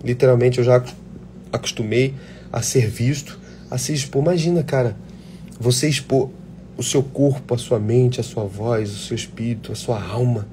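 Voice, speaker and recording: A man who works as an actor speaks to aspiring performers in a loose, live-chat way, blunt and fired up, cursing freely. His voice is mellow and middle-pitched. A faint hum sits under the recording. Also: moderate pace. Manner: blunt, swears freely, passionate. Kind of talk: casual live chat answering viewer questions.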